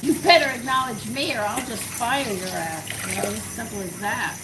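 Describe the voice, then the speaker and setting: Chatty woman, high voice, distant echoey kitchen. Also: moderate pace, noisy background. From Texas. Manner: chatty, rambling, answers viewers' comments. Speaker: home cooking show host. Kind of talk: casual live-stream chat while baking. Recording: echoey kitchen, far from mic, loud running water.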